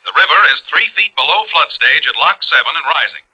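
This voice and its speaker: Old time news bulletin voice, very sharp, raspy, thru a radio speaker. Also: male voice, on the high side, expressive, fairly quick, lo-fi sound, exaggerated voice. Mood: urgent, formal. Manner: formal announcing. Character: brisk, formal radio news bulletin announcer.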